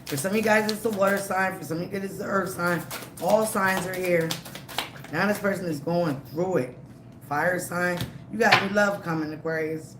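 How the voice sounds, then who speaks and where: A tarot reader giving a live reading, emphatic and repetitive, slightly raspy from a cold. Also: medium pitch, steady tone, moderate pace, roomy sound, noisy background. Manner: emphatic, repeats phrases, frequent "okay?" and "all right?".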